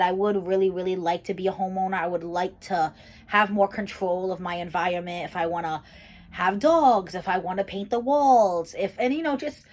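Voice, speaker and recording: A young woman who teaches and makes videos, talking casually through a get-to-know-me Q&A, rambling with lots of fillers and restarts. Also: mid-range voice. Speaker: YouTuber and teacher. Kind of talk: casual chatty Q&A vlog.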